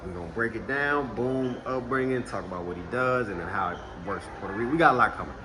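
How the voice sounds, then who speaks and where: A man's voice: mid-range voice, quick pace, noisy background. A man from Texas hosting a casual interview, talking in a laid-back, slangy way. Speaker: host. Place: indoors in Puerto Rico.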